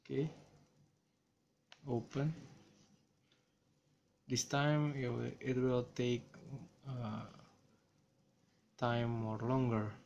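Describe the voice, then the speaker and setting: A man from Indonesia with an Indonesian accent, talking through a software tutorial in a slow, hesitant way with many fillers, recorded on a smartphone. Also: medium pitch, flat delivery, creaky, highs cut off. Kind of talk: screen-recorded coding tutorial. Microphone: smartphone camera filming screen.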